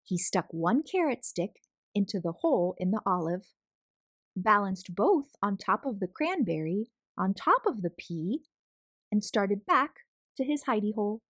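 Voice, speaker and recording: Very animated, slow-paced woman storyteller. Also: medium pitch, no room sound. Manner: expressive, deliberate, drawn-out emphasis on words. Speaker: storyteller, read-aloud host. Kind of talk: children's picture book read-aloud.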